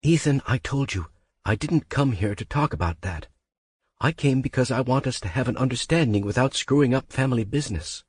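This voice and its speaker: A man's voice: medium pitch, expressive, a little fast, darkish tone, slightly rough, dry recording, voice pushed up. A man voicing a nervous, apologetic young woman intern. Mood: firm. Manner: apologetic, pleading.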